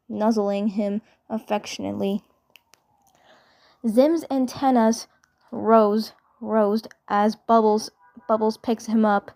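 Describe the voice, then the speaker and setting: A woman reading fan fiction narration aloud, haltingly, often repeating phrases as she goes. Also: a little high, darkish tone. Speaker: fan dub storyteller.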